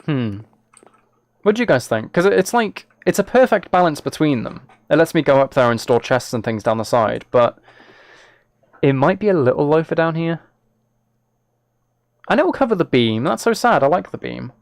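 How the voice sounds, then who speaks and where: A man's voice: fairly high pitch, very animated, normal speed, creaky, long pauses, dry recording, clean studio sound. A man chatting casually on a live game stream, thinking aloud as he plays and talking to his viewers.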